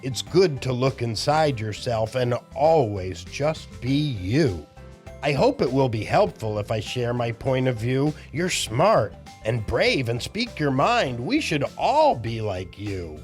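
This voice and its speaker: A kind young storybook schoolchild, read by a man gives earnest encouragement in rhyme. The delivery swings widely in pitch and takes its time. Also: very animated, slow pace, faint music behind. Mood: earnest, encouraging. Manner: earnest, encouraging, rhyming speech.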